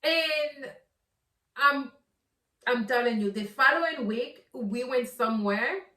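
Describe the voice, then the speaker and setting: Slow, earnest woman in an echoey room. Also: high voice, sharp tone. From Haiti. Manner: earnest and preachy, repeats words as she builds up to a point. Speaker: podcast host. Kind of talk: earnest solo talk to camera. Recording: roomy sound from a distant mic.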